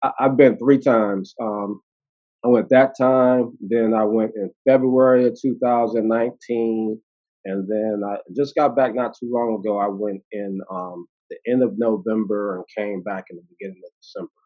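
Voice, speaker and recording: A man in his fifties with an American accent, telling stories as an interview guest, animated and laughing, with many fillers. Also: fairly low pitch, normal speed. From United States. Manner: animated, laughing, acting out quoted voices, many fillers and restarts. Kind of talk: casual storytelling conversation. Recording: dull lo-fi audio.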